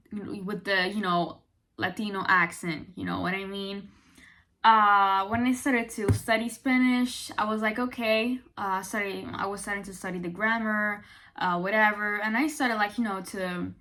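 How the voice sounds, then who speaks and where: A young woman talks straight to her viewers in a bright, clear voice. She is chatty and animated and fills her sentences with "like" and "you know". Her pitch sits in the middle range for a woman. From Italy. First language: Italian. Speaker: vlogger. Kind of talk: casual talk to camera.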